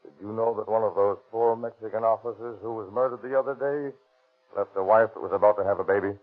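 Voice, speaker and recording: An actor playing an insistent, needling police detective in a 1930s radio crime drama. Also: male voice, on the low side, steady tone, fast, close to an everyday voice. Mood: persuasive, pressing. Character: hard-boiled, pressing police detective, a man. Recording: tinny, band-limited 1933 radio recording.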